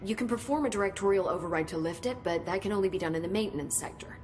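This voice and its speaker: A woman's voice: mid-range voice, rapid-fire, a little mellow, close to an everyday voice. An actress playing an earnest, curious woman scientist in a video game cutscene. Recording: game cutscene with clear ambient noise.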